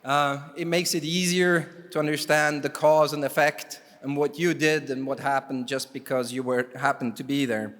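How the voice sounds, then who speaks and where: Man speaking in a reverberant hall. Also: fairly high pitch. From Canada. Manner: relaxed, wry, halting with fillers. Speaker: jury chair presenting awards. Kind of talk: ceremony speech with asides. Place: large conference hall.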